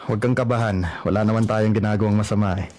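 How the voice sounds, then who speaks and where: An actor playing a lonely young man who still loves his ex, wistful and gently probing, in a radio drama. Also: fairly low pitch, steady tone, quick pace, natural voice.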